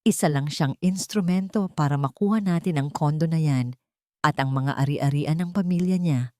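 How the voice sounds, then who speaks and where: A woman's voice: sits low, normal speed, dark tone, no echo, clean studio sound, natural voice. A woman narrator voicing a greedy older mother-in-law, a cold, scornful and conniving villain in a story drama. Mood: contemptuous.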